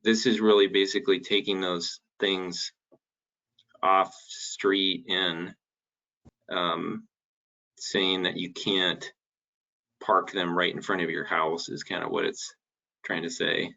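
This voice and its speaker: A man's voice: low-pitched, flat delivery, slow pace, vocal fry, pauses often, sharp tone, muffled recording. A man talking through details as a city planner in an informal meeting, hesitant and thinking aloud. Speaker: city planner presenting a code draft.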